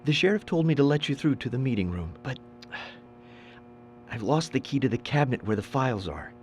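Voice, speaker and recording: An actor playing a gentle, nervous male deputy who stammers and apologizes in a video game cutscene. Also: medium pitch, very animated, normal speed, a little hiss or hum, close to an everyday voice. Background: game music.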